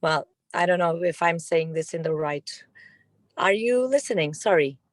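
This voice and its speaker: A woman's voice: lowish voice, expressive, normal speed, dry recording, clean studio sound. A woman from Portugal with a Portuguese accent, a parent asking a question on a video call and searching for her words.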